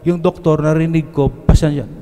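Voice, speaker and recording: Man, Filipino accent, middle-aged priest, homily, mellow voice, long church reverb. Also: fairly high pitch, steady tone, a little fast. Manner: switches between Tagalog and English, conversational preaching. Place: church sanctuary, at a lectern. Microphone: lectern microphone.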